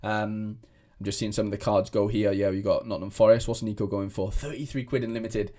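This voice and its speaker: A man's voice: on the low side, little pitch movement, fairly quick, warm tone. Rambling young man. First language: Welsh. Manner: rambling, many restarts and fillers. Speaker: fantasy football content creator. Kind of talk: casual chat to camera.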